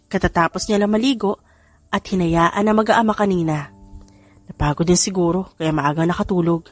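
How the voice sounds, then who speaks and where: Filipino woman narrating with music behind. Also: lowish voice. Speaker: audiobook narrator. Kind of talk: scripted story narration. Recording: background music under the voice.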